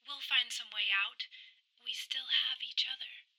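A woman's voice: on the high side, steady tone, unhurried, bright, forceful tone, exaggerated voice, low-quality recording. A woman playing an anxious, devoted wife in a radio drama, tender and pleading.

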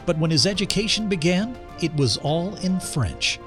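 A male radio program host reading a scripted intro and asking interview questions in a measured, polished way. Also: high-pitched, animated, music in the background.

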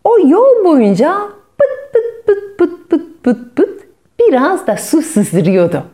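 A woman storyteller narrating a fairy tale expressively, with dramatic pauses and playful sound words. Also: very high voice, sing-song, mellow.

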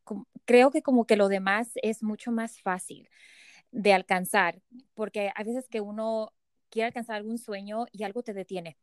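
A woman hosts a conversational interview with an eager, effusive manner, circling back to repeat her points. Her voice sits at a middle pitch and sounds dry and close to the mic. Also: steady tone, normal speed, clean tone, dry recording. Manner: enthusiastic, effusive, repeats phrases. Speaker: podcast host.

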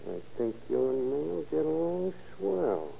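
Streetwise convict, American, wry slang, very animated pitch, dark muffled tone, old radio crime drama. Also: male voice, a little slow, gravelly, heavily acted. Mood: sly, flirty. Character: wisecracking male prisoner made jail trustee. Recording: dull, noisy old radio recording.

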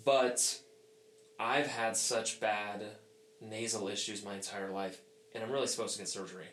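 A man hosting a podcast in relaxed, casual conversation, his voice a little stuffy on one side, laughing easily. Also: on the low side, moderate pace, echoey room, slight background noise. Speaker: podcast host.

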